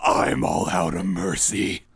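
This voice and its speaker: A man's voice: deep voice, fairly even intonation, takes it slowly, creaky, heavy background noise, exaggerated voice. A man playing a blunt, nonchalant, thieving bear anti-hero in an improvised comedy podcast. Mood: agitated, defensive. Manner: blunt, nonchalant about violence. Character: morally questionable thieving, guard-killing male bear anti-hero.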